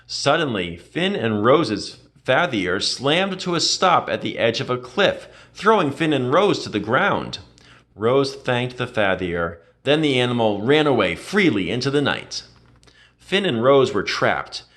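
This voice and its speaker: A man narrates a children's storybook with a very lively, swooping intonation. His voice sits at a medium pitch. He leans into the drama with emphatic delivery, as in an expressive read-aloud. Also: measured pace.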